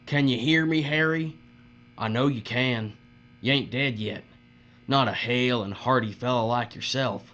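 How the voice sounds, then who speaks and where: A man's voice: medium pitch, measured pace, close to an everyday voice. A man playing a cruel, leering bully boss in a dystopian audio drama, with a taunting drawl and folksy, uneducated grammar. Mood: gloating, bossy. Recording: muffled audio with steady mains hum.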